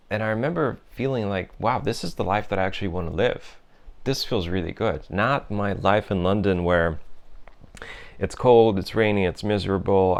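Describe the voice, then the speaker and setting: A young man with a deep, resonant voice talks through his own journey in a calm personal monologue. He speaks thoughtfully and unhurriedly, pausing on fillers and starting phrases over as he gathers his thoughts. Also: smooth. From Europe, raised in several countries. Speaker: host.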